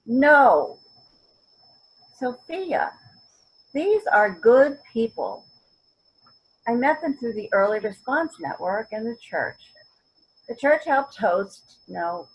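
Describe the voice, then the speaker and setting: Actress as husky, stubborn elderly mother. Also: a little high, very animated, slow pace, long pauses, full character voice, low-quality recording. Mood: earnest. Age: older. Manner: word-searching, emphatic, wry. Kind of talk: virtual readers theater acting.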